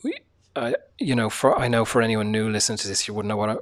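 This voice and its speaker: A sick man hosting a casual solo podcast, speaking quietly with a hoarse, tired voice, swearing often and using many fillers. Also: fairly low pitch, very animated, quick pace. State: sick, low energy, voice hoarse. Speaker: podcast host.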